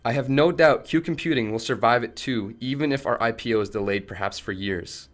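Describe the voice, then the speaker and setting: A man's voice: medium pitch, a little fast, close to an everyday voice. A man playing a principled, defiant tech company chief held captive in a radio play, arguing firmly and articulately. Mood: firm defiance. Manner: firm, argumentative, articulate.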